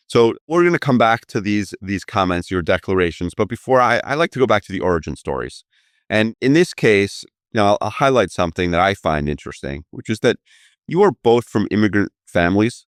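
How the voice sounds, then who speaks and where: A man's voice: deep voice, smooth, no echo, clean studio sound. A man hosting a business podcast, introducing guests and asking them questions in an easy conversational way. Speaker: podcast host. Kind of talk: conversational interview.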